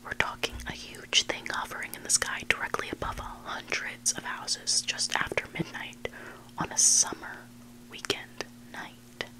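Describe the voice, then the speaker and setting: A person whispering a story in ASMR style as a host, hushed and deliberate, pausing between short phrases. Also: rough recording. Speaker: ASMR host reading submitted online stories.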